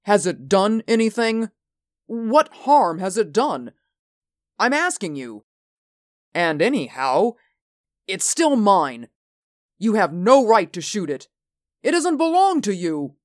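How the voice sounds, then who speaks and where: A woman's voice: mid-range voice, very animated, measured pace, dry recording, clean studio sound, pitched above the performer's own voice. A woman voicing an agitated, anxious male spaceship crewman in a story, urgent and emphatic. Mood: indignant. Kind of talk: storybook character acting.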